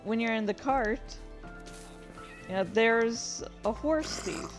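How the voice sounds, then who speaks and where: A woman giving casual, rambling commentary as a writer, halting and self-deprecating, with some swearing. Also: a little high, over music. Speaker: writer reading and commenting on own story.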